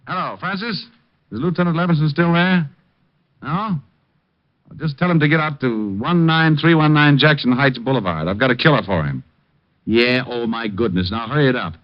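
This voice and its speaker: A man's voice: mid-range voice, normal speed, lightly acted. An actor playing a dry, sardonic private detective who narrates his own case in a 1950s radio drama. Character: wisecracking, unflappable male private eye. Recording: muffled old radio broadcast.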